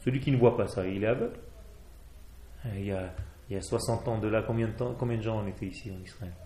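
A man's voice: on the low side, fast talker, mellow, echoey room, a little hiss or hum. A man from Israel with an Israeli accent and Hebrew as his first language, fervently exhorting a religious class. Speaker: rabbi. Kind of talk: Torah class.

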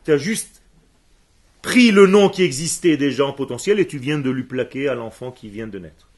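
A man's voice: medium pitch, expressive, a little mellow, breathy edge. A man who grew up speaking Hebrew, teaching a class emphatically and firing questions at his students. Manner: emphatic, asks the room questions, answers students quickly. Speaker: religious teacher.

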